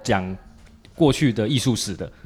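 Low-voiced Taiwanese man, chatty podcast host. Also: expressive, moderate pace, a little mellow, breathy edge. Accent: Taiwanese Mandarin. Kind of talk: casual podcast chat.

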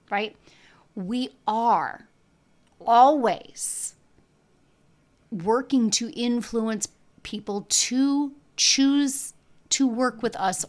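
A woman hosting a solo podcast, talking frankly and chattily with a froggy voice from allergies. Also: mid-range voice. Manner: chatty, frank, emphatic with casual swearing. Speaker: podcast host. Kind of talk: casual solo podcast monologue.

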